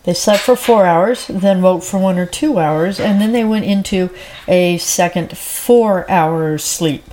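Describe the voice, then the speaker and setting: Female podcast host, a bit low-pitched, stuffy from a cold, casual and rambling with plenty of ums and uhs. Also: a little slow, darkish tone, a little hiss or hum, low-quality recording. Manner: chatty, rambling with frequent fillers. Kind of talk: casual solo podcast chat.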